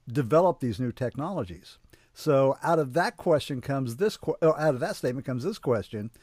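Halting male interview host, dry sound. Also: mid-range voice, a little mellow, no echo. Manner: halting, many fillers and restarts. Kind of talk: conversational interview.

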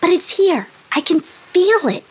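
A woman reading a storybook aloud and voicing a curious, sweet-natured young girl with a bright, eager manner. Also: very high-pitched, sing-song, a little slow, pitched above the performer's own voice, heavily acted. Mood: hopeful. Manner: sweet and friendly.

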